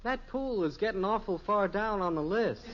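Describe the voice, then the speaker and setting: An actor playing a lazy, easygoing American teenage son in a 1950s radio sitcom, boyish and guileless with wisecracks. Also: male voice, high voice, expressive, normal speed, fairly bright tone. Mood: reluctant, drowsy. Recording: muffled, noisy old radio recording.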